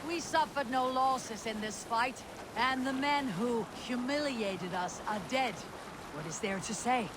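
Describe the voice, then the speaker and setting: An actor playing a proud, stubborn Viking warrior in a video game, terse and defiant with archaic phrasing. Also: male voice, extremely high pitch, a little slow, noisy background. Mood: defiant, dry. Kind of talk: video game cutscene acting.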